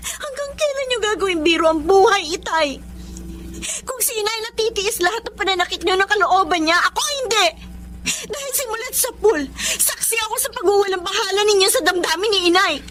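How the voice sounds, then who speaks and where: A woman's voice: very high voice, animated, brisk, a bit bright. A woman with a Filipino accent acting an emotional, accusing daughter in a Tagalog radio drama. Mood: bitter reproach. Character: hurt, angry adult daughter confronting her wayward father.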